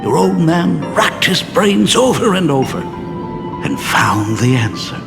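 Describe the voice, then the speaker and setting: An affectionate middle-aged father in a video game, tender and playful. His voice is a little high and very expressive. It rings with long reverb, with music behind. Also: slow pace, full character voice. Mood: tender, wistful. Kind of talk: dramatic video game acting.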